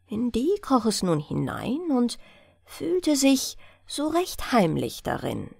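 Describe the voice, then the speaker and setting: A woman reading a bedtime fairy tale in a soothing, expressive storytelling manner. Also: a little high, vocal fry, no room sound. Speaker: audiobook narrator.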